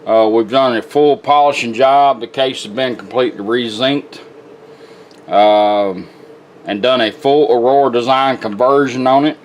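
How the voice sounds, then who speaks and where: A man's voice: medium pitch, fairly even intonation, a little slow, muffled recording, slight background noise. A plainspoken, folksy man giving a casual product demonstration as a radio restorer. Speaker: radio restorer presenting his work.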